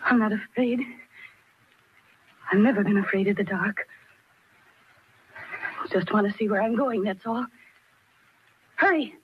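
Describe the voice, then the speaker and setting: A woman's voice: medium pitch, measured pace, pauses often, slight background noise, natural voice. An actress playing a haughty, impatient, desperate trapped woman, in old-time radio horror style. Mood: scornful, urgent. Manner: insistent, imperious, sharp-tongued. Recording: dull, lo-fi old radio recording.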